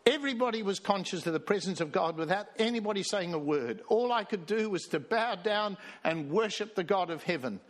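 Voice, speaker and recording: A man with a high-pitched voice delivers a storytelling sermon. He reads quoted passages aloud with emphasis and speaks as the church's minister. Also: expressive, a little fast, few pauses. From Australia. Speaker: pastor.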